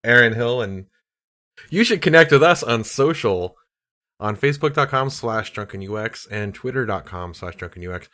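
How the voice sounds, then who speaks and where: A man co-hosting a casual podcast, joking around and laughing often with quick asides. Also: medium pitch, expressive, bright, forceful tone. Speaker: podcast co-host.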